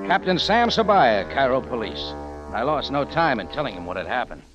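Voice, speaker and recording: An actor playing a tough, wry American adventurer with slangy, hard-boiled delivery in a 1950s radio drama. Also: male voice, mid-range voice, big pitch swings, moderate pace, over music, natural voice. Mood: wry narration. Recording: dull old radio recording.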